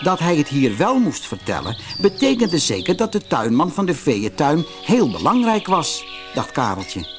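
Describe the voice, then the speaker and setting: Male storyteller, animated intonation, slightly high voice, expressive children's audiobook, music behind. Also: moderate pace. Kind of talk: scripted fairy-tale narration for children.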